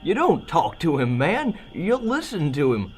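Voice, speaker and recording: A man's voice: a little high, wildly swooping pitch, measured pace, a little mellow, soft background music. A man voicing a manic, fawning cartoon dog, rambling and erratic, in an old-time radio drama parody. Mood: awed, jittery.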